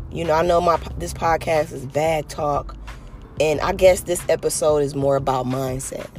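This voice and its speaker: Podcast host, from California, hoarse and raspy, earnest, rambling, faint music behind. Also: medium pitch, darkish tone. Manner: earnest, rambling, many "you know" fillers. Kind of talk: motivational podcast monologue.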